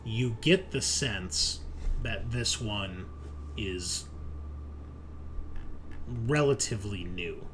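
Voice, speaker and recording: Low-voiced man game-mastering over background noise. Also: animated, extremely slow, pauses often, fairly bright tone. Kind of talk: improvised tabletop game narration.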